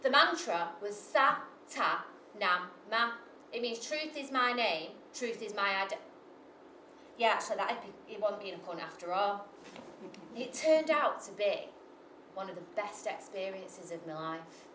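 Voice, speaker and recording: A woman's voice: medium pitch, expressive, measured pace, bright, forceful tone, a little hiss or hum. A woman performing a live comic monologue, brash, sweary and self-mocking, full of asides to the audience. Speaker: storyteller. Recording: echoey live venue from far off.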